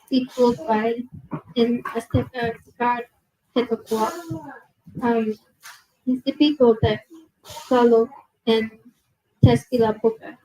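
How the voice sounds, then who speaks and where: A young woman giving a nervous museum presentation to an audience, reading from notes with many fillers and apologies, her soft low voice held away from a handheld mic indoors. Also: slightly rough, low-quality recording. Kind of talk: reading prepared talk to a live audience. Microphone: handheld mic held at a distance.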